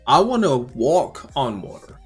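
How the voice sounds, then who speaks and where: A man giving casual game reaction commentary as a YouTuber, talking informally and rambling as he thinks out loud. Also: medium pitch, big pitch swings, measured pace, husky. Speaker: gaming YouTuber. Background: music.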